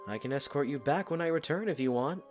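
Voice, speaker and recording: An actor playing a devoted, modest young male knight with a casual, gently teasing manner in a fan audio drama. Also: a little high, quick pace, faint music behind, close to an everyday voice. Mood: soft, considerate.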